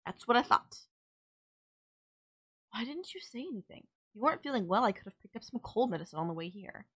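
A woman's voice: a little high, very animated, fairly quick, a bit bright, husky, exaggerated voice. A woman playing a sardonic villain nemesis in an audio roleplay, scolding and teasing the listener in an exasperated but caring way. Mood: scolding care.

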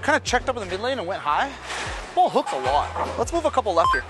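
High-voiced man giving relaxed shot-by-shot commentary. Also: fast, loud music behind the voice. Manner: relaxed, talks through each shot and adjustment. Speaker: bowling ball reviewer. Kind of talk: casual running commentary while bowling. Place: large indoor bowling alley.

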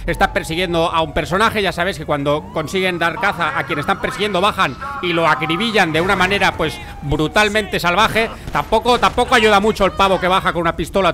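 A man with a Spain Spanish accent giving animated, colloquial, joking commentary on gameplay as a video creator. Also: sits high.

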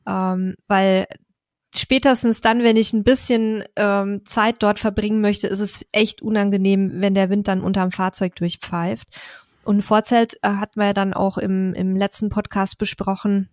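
A woman's voice: mid-range voice, darkish tone, no room sound, studio quality. A woman in her thirties co-hosting a podcast, explaining things in a chatty way with fillers. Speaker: podcast co-host. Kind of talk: casual advice chat. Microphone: headset and studio mic.

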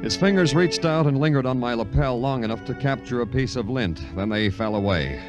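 Man playing a deadpan, hard-boiled city detective. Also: medium pitch, brisk, highs cut off, loud music behind the voice, close to an everyday voice. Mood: brooding. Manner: deadpan, clipped in dialogue, brooding in narration. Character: terse, world-weary male big-city police detective. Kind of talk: 1950s hard-boiled radio drama acting.

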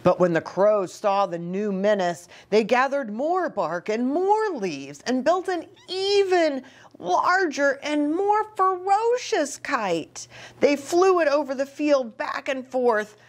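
A middle-aged woman reading a picture book aloud to young children, animated, with chatty asides and questions for her listeners. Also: high-pitched, slow pace. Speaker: children's ministry storytime host. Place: small indoor reading nook with bookshelf and curtains.

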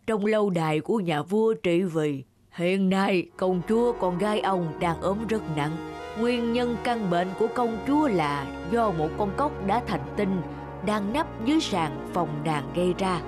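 Prominent music sits behind a woman playing a talking forest animal from a cartoon fairy tale. The voice is mid-pitched, with a measured, explanatory delivery. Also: lively intonation, natural voice. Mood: confiding. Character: talking forest animals sharing secrets at a meeting.

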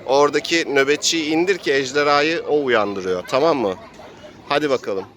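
A man's voice: on the high side, moderate pace, a bit bright. Man narrating a dramatic tabletop adventure. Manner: dramatic play-by-play storytelling. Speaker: game master and player. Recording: faint street noise and background voices.

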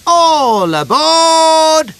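A woman doing a cheerful high-voiced train driver. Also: very animated, slight background noise, exaggerated voice. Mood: cheery. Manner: high, bouncy, drawn-out calls.

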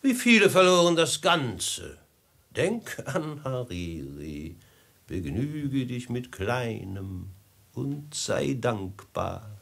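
An actor playing a falsely courteous desert robber chief with a husky voice, unctuous and flowery, in a 1950s German radio drama. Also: male voice, mid-range voice, expressive, extremely slow, a bit bright, full character voice. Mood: veiled menace. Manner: unctuous, flowery, mock-friendly, theatrical.

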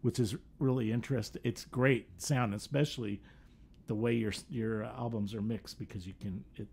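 A man's voice: on the low side, steady tone, moderate pace, creaky, darkish tone, slight background noise. A middle-aged American man hosting a casual radio interview, speaking into a studio microphone. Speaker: radio host. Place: small radio talk studio. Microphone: studio microphone on an arm, headphones.

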